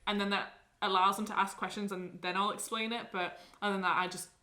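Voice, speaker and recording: A woman's voice: medium pitch, little pitch movement, fast talker, bright, forceful tone. A young woman from England, speaking with an English accent mixed with Canadian, hosting a podcast in a chatty, self-deprecating way. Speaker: podcast host. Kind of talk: casual podcast chat.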